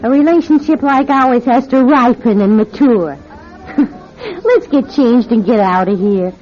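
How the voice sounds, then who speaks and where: An actress playing a tough, scheming go-go dancer with sardonic, slangy delivery in an old radio drama. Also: female voice, fairly high pitch, lively intonation, a little slow, faint music behind. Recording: muffled old radio recording.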